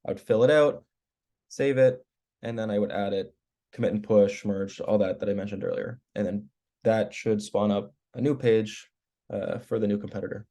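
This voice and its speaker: A hoarse man, losing his voice after a cold, walking colleagues through editing web pages in a relaxed, explanatory way on a video call. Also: on the low side, moderate pace. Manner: relaxed, explanatory, clears throat often. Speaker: web team member giving training. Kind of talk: screen-share walkthrough on a work call.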